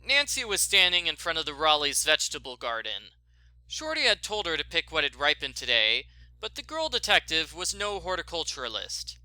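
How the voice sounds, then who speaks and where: A man's voice: fairly high pitch, big pitch swings, moderate pace, sharp tone, no room sound, low-quality recording. A man narrating a scripted story as a reader. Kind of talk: scripted fan fiction narration.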